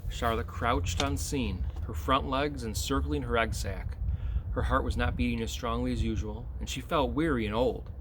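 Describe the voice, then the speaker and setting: A man reading a children's story aloud in expressive narration. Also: mid-range voice, normal speed, background noise.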